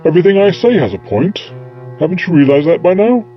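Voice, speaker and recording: Male actor as wry time traveller, eccentric, glib, animated intonation, audio drama, faint music behind. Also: high voice, fast talker, highs cut off. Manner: wry, evasive, flippant.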